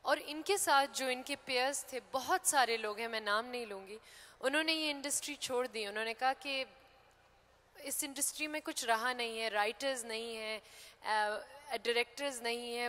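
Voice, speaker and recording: A young woman actress hosting on stage, introducing a guest slowly and deliberately while mixing Urdu with English. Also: fairly high pitch, sharp tone. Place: hotel ballroom stage. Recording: reverberant hall.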